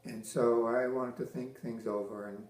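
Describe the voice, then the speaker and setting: Older man slowly recalling, echoey room. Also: mid-range voice, fairly even intonation, darkish tone. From Massachusetts. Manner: halting, many restarts and fillers, dry teasing humor. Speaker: veteran interviewee. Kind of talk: oral history interview.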